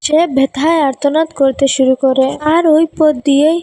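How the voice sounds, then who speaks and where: Female storyteller for a kids' cartoon, high-pitched yet gentle-toned, scripted narration. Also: steady tone, moderate pace.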